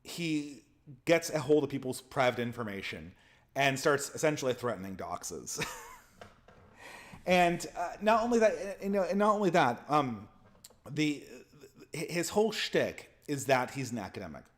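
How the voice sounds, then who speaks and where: A man's voice: medium pitch, sing-song, moderate pace. A man with a nasal voice and a speech impediment, hosting a casual commentary monologue full of restarts and repeated words. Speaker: channel host.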